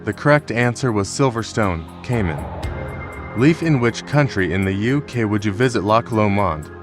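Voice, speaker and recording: Brief: male game show host, brusque and formal, lowish voice, music clearly behind. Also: lively intonation, moderate pace. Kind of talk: scripted game show presenting.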